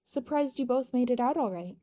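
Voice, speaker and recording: A woman's voice: high-pitched, animated, fairly quick, dark tone, pitched above the performer's own voice. A woman voicing a cocky, composed young male leader with an easygoing, wry manner in a narrated story.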